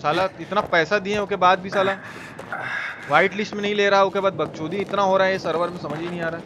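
A man's voice: high-pitched, fairly even intonation, quick pace. A man from India with a Bihari Hindi accent, giving casual, teasing, foul-mouthed live gaming commentary and chatting with viewers. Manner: colloquial, teasing, swears freely. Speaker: video game live streamer. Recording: clearly noisy, game sound behind.

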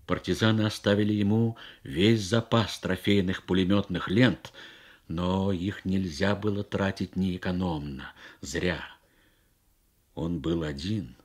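A man reading literary prose as a dramatic radio narration, in measured, deliberate phrases with pauses. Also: low voice, husky. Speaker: reader of a short story on radio.

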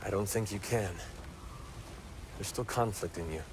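An actor playing a calm, wise young Jedi knight in a video game, speaking in a measured, earnest way. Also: male voice, on the low side, creaky, background noise. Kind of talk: video game cutscene acting.

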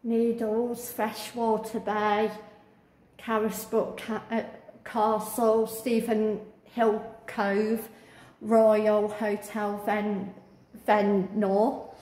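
A woman vlogger talking through a museum and garden on a walking tour, halting with many fillers. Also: mid-range voice, completely flat delivery, warm tone, roomy sound, rough recording. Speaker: travel vlogger. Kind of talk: casual walking tour commentary. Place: indoor museum and outdoor garden.

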